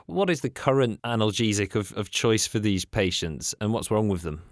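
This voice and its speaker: A man narrating an introduction and asking interview questions in a measured way. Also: lowish voice, animated, no echo.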